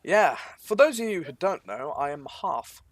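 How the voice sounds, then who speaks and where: A man's voice: a little high, very animated, normal speed. A man from Norway giving casual live esports commentary, trading banter with dry, teasing understatement. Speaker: esports match caster.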